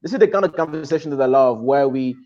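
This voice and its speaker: A man's voice: mid-range voice, fast talker, mellow, dull audio. A man from the DR Congo hosting a live-stream interview show, talking effusively with lots of restarts and fillers. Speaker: show host.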